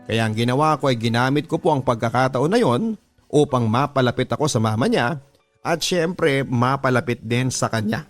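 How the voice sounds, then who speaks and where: A man's voice: medium pitch, animated, moderate pace, faint music behind. A man with a Filipino accent narrating a scripted first-person love story in the style of a radio drama.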